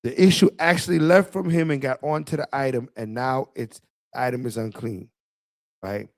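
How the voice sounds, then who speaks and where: A man's voice: medium pitch, steady tone, moderate pace, no room sound, clean studio sound. A man leading a Bible study, calling for verses to be read and explaining each one with frequent "right?" checks. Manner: directs the reading, explains with repeated checks. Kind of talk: casual Bible study teaching.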